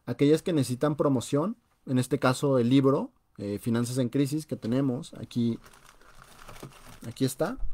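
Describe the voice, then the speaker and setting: A man's voice: on the high side, a little mellow. A young man with a Mexican Spanish accent, hosting a live-streamed personal finance talk from a home room over a webcam, conversational and emphatic, often saying "o sea". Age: young adult. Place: small room at home, indoors. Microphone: webcam on a video call.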